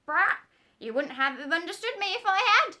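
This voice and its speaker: Storybook parrot, proud and bossy, loud, very high squawks. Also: female voice, big pitch swings, moderate pace, exaggerated voice. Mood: squawky, bossy. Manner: squawking, sharp, emphatic.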